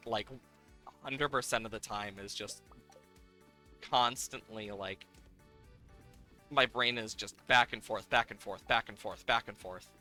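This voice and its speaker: A man's voice: mid-range voice, sharp tone. A man giving casual, playful live commentary on a game, thinking aloud as he plays, with game music behind him. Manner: playful, hums and makes sound effects while talking.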